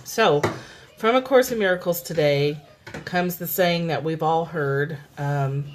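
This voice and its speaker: A woman hosting a live stream from home, reading a daily spiritual text and talking it through in a relaxed, unhurried way, greeting viewers by name. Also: low-pitched, noisy background. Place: home, children in background. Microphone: phone.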